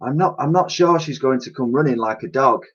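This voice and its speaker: A man's voice: medium pitch, brisk, breathy edge, voice pushed up, lightly acted. A man voicing a fretful, hapless grown woman in a children's storybook, fussing and plaintive. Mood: puzzled. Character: grown lady, fretful, hapless, none too bright. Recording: dull lo-fi home recording.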